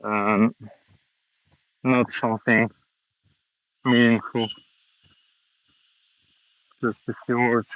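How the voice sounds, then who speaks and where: Guy talking english real slow on a walk outside, lots of uh's, kinda muffled phone sound. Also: medium pitch, little pitch movement. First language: Russian. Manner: slow, hesitant learner English with many pauses. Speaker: vlogger practicing English. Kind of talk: casual walking vlog monologue. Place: outdoors, walking around a city.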